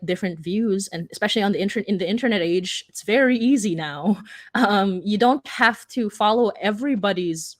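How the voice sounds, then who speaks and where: A woman speaking unscripted as a writer on a discussion panel, earnest and halting as she rephrases her points. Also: fairly low pitch, dry recording, clean studio sound. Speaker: panelist, audio drama writer.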